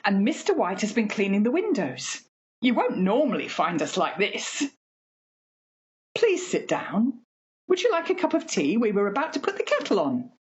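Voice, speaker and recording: A woman playing a fussy, chatty working-class mother in a radio play, homely and expressive. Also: medium pitch, normal speed, slightly rough, highs cut off, exaggerated voice. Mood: flustered, excited.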